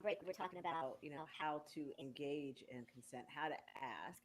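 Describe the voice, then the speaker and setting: A woman's voice: low voice, normal speed, heavy background noise. A woman in her sixties hosting a casual talk show, quizzing her guests in a playful, game-like way. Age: 60s. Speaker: radio show host.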